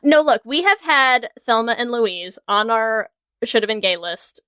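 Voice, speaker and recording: Female co-host, high bright voice, wry and jokey, casual banter, dry close recording. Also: measured pace, clear, resonant tone, no room sound. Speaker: podcast co-host.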